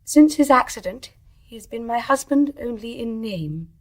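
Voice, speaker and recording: An actress playing an anguished, impassioned young wife in a radio drama, earnest and emotional. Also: female voice, on the high side, expressive, normal speed, a little mellow. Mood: resolute. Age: young adult. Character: anguished, impassioned young wife, ashamed yet defiant in love. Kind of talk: stage-play radio drama acting.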